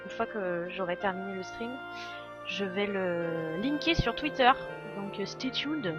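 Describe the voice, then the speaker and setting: A woman streaming a game, with a mid-range voice. She comments and explains in a casual, hesitant way, thinking aloud over clear game music. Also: mid-range voice, unhurried, muffled recording.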